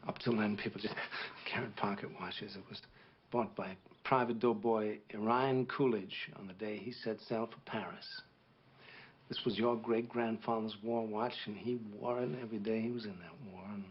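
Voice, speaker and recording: A man's voice: fairly low pitch, steady tone, muffled recording, slight background noise, natural voice. A man playing a grave veteran officer. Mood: earnest. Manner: measured, solemn storytelling to a child. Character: grave middle-aged male war veteran officer. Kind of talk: dramatic monologue performance.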